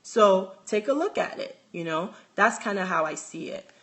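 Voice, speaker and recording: A woman's voice: medium pitch, lively intonation, moderate pace, fairly bright tone. A young woman with a Nigerian accent, a handbag designer chatting casually on a phone livestream, restarting sentences and reading out viewer comments.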